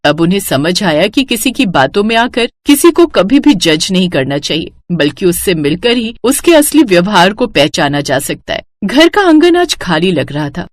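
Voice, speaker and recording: Lively woman narrating a kids' cartoon story. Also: mid-range voice, no room sound. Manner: lively, switches into character voices. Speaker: narrator voicing all characters.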